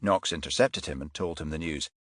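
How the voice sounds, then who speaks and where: A man's voice: extremely low pitch, brisk, fairly bright tone, no room sound. A man narrating a crime thriller audiobook from a script.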